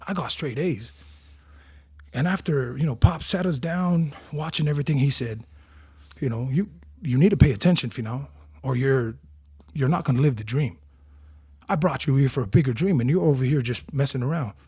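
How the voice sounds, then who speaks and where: Man from tonga, forties, husky voice, dry close sound, lively storyteller. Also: medium pitch, moderate pace, no echo. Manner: animated storyteller, acts out quotes. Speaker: guest. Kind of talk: casual storytelling interview.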